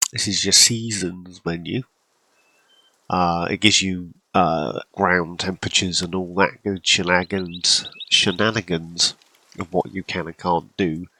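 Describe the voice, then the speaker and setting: Low gravelly congested man. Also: steady tone, slow pace, long pauses, pushed and bright, dry recording. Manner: rambling, self-deprecating, frequent throat clearing. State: congested from a cold, coughing. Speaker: gaming channel host. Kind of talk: casual gameplay commentary.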